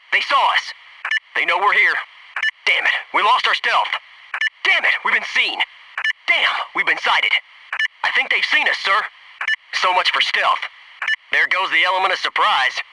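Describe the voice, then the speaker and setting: An actor playing a cocky, wisecracking young Navy SEAL, giving clipped, urgent combat callouts in a video game. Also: male voice, high-pitched, distant mic, noisy background. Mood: urgent, tense. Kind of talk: military game combat barks and radio callouts.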